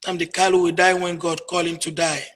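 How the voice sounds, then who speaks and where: Husky Nigerian woman, emphatic. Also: flat delivery, a little fast. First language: Igbo. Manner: emphatic, swings between low murmurs and loud declarations. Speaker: interviewee, activist. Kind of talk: emotional call-in interview.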